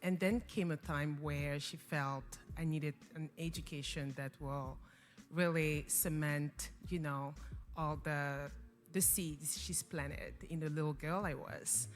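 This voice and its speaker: A woman's voice: sits low, fairly even intonation, slow pace, soft background music. A young woman whose first language is French, a guest earnestly telling her story in an interview, with many fillers and restarts. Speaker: guest, organization founder. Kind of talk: interview conversation.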